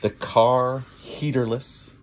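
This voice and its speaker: A man's voice: medium pitch, little pitch movement, slow pace, a little hiss or hum. A man reads a picture book aloud in a theatrical way, drawing out words for emphasis. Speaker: storybook reader.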